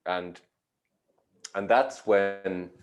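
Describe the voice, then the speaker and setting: A man from Finland, a yoga teacher giving measured, thoughtful interview answers with frequent pauses. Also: deep voice. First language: Finnish.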